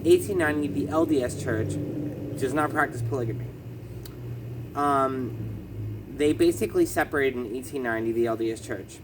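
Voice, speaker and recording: A man's voice: mid-range voice, moderate pace, pauses often, background noise. A man with a lisp talking through a casual explanatory vlog, hesitant and full of ums and self-corrections. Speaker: vlogger.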